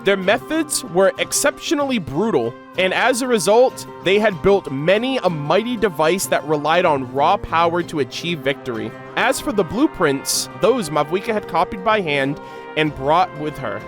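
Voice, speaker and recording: A man hosting a reaction video, reading game lore aloud in an expressive way with casual, slangy asides. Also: sits high, normal speed, over music.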